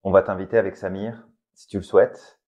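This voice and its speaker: A man co-hosting a casual motivational podcast, speaking calmly and addressing the listener directly to push them into action. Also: low-pitched, a little fast, muffled recording. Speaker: podcast co-host.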